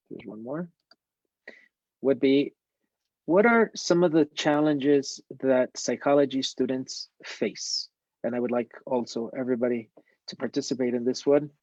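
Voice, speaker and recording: A man moderating a webinar panel, polite and formal, pausing with fillers. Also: mid-range voice, darkish tone, no echo. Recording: dull dry lo-fi audio.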